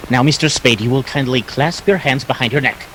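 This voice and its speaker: A man's voice: medium pitch, fairly bright tone, slight background noise, lightly acted, low-quality recording. A man acting a fussy, formally polite and slippery Greek crook in a 1940s detective radio play. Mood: politely threatening. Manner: formal, precise, halting politeness.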